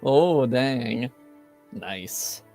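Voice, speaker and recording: A game master narrating a tabletop roleplaying game casually, with halting, hesitant phrasing. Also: mid-range voice, lively intonation, warm tone, faint music behind.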